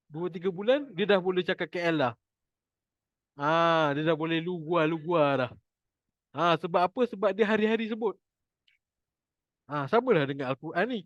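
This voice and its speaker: Malaysian guy teaching an informal class, jokey, repeats stuff, higher-ish voice, muffled dry audio. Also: no echo. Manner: chatty, jokey, repeats points for emphasis. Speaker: Quran recitation teacher.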